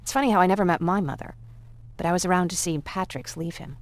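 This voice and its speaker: A woman's voice: fairly low pitch, fast, lightly acted. An actress playing a young woman who survived a nuclear war, speaking in a reflective, wry, conversational way. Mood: wistful, reflective. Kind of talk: naturalistic game cutscene acting.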